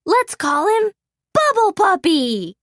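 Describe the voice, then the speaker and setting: Young boy guppy for a storybook, read by a woman: high, animated, eager and kind. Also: takes it slowly, no room sound, studio quality, heavily acted. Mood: excited. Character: eager little boy guppy, caring and excitable.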